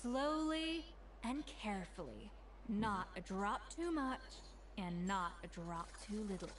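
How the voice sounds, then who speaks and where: A woman playing a proud, exacting young chef in a video game, giving crisp, commanding instructions as a strict teacher. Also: mid-range voice, expressive, takes it slowly, faint music behind. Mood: stern, commanding. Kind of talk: video game character dialogue.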